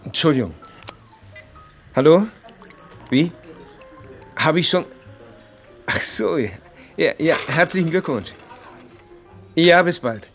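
An actor playing an evasive, uneasy banker who answers tersely, in a naturalistic radio drama. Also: male voice, fairly high pitch, expressive, takes it slowly, long pauses, faint music behind. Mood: polite, distracted. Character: evasive, uneasy male banking colleague.

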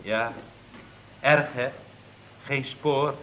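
An actor playing an earnest, deferential employee in a vintage radio comedy, melodramatic and prone to stammering. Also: male voice, mid-range voice, steady tone, extremely slow, bright, forceful tone, slight background noise, rough recording. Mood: mournful, dramatic. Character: earnest, deferential male employee, dramatically dismayed underling. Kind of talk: 1960s-70s Dutch radio comedy acting.

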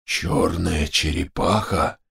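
A man voicing a solemn, measured chief shaman in a fantasy audiobook. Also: sits low, steady tone. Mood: stunned. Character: grave male chief shaman, solemn, awed.

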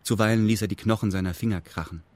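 An actor playing a detached narrator who recounts events in meticulous, matter-of-fact detail in a radio drama. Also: male voice, on the low side, fairly even intonation, quick pace, darkish tone, lightly acted.

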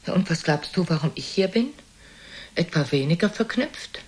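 An actress playing a cool, unsentimental old mother with a husky voice and a dry, teasing, blunt manner in a German radio play. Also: lowish voice, lively intonation, moderate pace, darkish tone. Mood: mocking, blunt.

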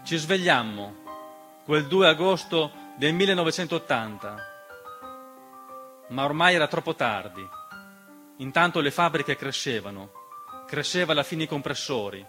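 A man's voice: on the high side, expressive, bright, forceful tone. Theatrical male storyteller over piano music. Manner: measured, dramatic delivery with deliberate pauses and repeated phrases. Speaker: stage storyteller and narrator. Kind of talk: scripted theatrical narration, radio theatre monologue.

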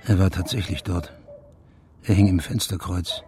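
An actor playing an insistent senior policeman who also narrates a radio thriller, measured and intense. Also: deep voice, steady tone, mellow, soft background music, natural voice. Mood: hushed, tense. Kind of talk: radio drama dialogue and first-person narration.

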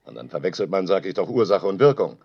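An actor playing a defensive, volatile sound artist in naturalistic radio drama, argumentative and given to ranting and swearing. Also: male voice, sits low, big pitch swings, fast talker, creaky, husky, exaggerated voice. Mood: argumentative, earnest. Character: defensive, volatile male sound artist who records everything. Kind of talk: naturalistic radio play dialogue. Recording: dull, lo-fi home tape sound.

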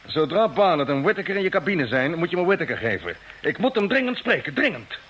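A man acting a brisk, commanding spaceship captain in a radio drama, speaking with urgency. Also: fairly high pitch, sing-song, a bit bright, dull audio. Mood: urgent, puzzled. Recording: old radio recording with background noise.

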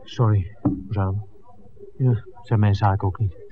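An actor playing an inquisitive film-maker visiting a village in a 1960s radio play, conversational and full of questions. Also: male voice, on the low side, steady tone, a little slow, a crowd in the background, natural voice. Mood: polite. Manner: questioning, conversational, hesitant asides. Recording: tinny, noisy 1960s radio recording.

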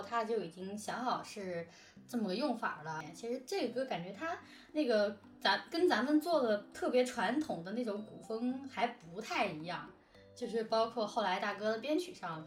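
A woman's voice: on the high side, expressive, normal speed, echoey room, distant mic. A talkative woman chatting casually about production work, explaining things at length and correcting herself as she goes. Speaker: audio drama production team member and lyricist. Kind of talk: casual behind-the-scenes chat.

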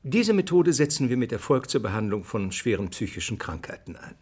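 An actor playing a confident, easygoing scientist in a radio play, with a breezy manner and husky voice. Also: male voice, medium pitch, very animated, fairly quick, darkish tone. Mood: assured. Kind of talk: radio play acting as an interview.

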